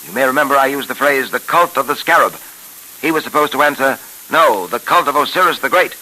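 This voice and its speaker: An actor in a 1930s radio serial playing a confident police detective hero with clipped, authoritative delivery. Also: male voice, medium pitch, fairly quick, bright, forceful tone, rough recording. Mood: assured. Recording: hissy old 1930s radio recording.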